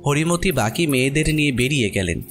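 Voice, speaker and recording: A man's voice: mid-range voice, expressive, a little fast, faint music behind. A man narrating a horror story expressively from a script.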